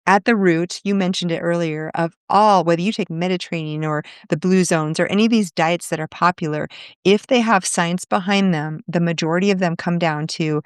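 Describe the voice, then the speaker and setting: A woman physician explaining her work in an interview, steady and explanatory, listing examples as she goes. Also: on the low side, fairly quick, clear, resonant tone, dry recording, clean studio sound. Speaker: functional medicine physician.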